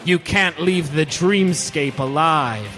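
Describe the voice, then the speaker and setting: A man performs a sinister dream villain in a live tabletop game, gloating and menacing. The words come slowly, ringing through a big hall with music underneath. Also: on the high side, fairly bright tone. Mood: gloating menace.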